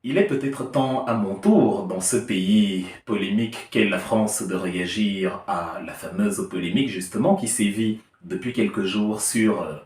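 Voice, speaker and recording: A man's voice: fairly low pitch, darkish tone. A man giving a heated political opinion monologue, emphatic and argumentative with an ironic edge. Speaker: political commentator. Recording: echoey room, distant mic.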